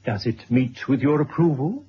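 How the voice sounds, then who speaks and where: An actor playing a polite, formal biographer in a radio drama, speaking precisely in a husky voice. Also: male voice, medium pitch, normal speed. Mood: measured, probing. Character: courteous, formal male biographer interviewing his subject. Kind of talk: 1970s radio drama acting. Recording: dull old radio broadcast recording.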